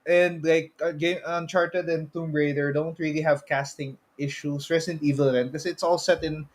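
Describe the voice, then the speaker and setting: Male podcast co-host, Filipino accent, voice on the higher side, informal and halting delivery. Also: little pitch movement. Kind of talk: casual chat.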